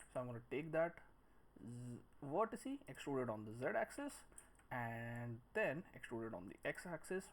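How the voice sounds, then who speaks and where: Male software tutor, mid-pitched and animated in intonation, talking through steps in short phrases. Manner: speaks in short phrases while working. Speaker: 3D modelling tutor. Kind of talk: step-by-step screen-recorded software tutorial, thinking aloud.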